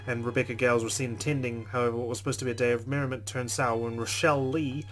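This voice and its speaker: A man's voice: medium pitch, quick pace, faint music behind. Man reading game narration dramatically. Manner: expressive reading with reactions. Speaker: let's player reading a visual novel. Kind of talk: dramatic first-person narration.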